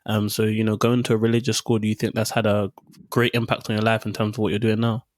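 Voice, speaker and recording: A man hosting a podcast, reading a scripted introduction and then asking interview questions in a measured way. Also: lowish voice, completely flat delivery, no echo, studio quality. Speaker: podcast host.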